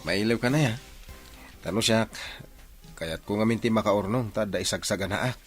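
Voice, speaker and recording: A man's voice: lowish voice, normal speed, faint music behind, natural voice. A man playing a steadfast, lovestruck young worker in a radio drama, with an earnest manner.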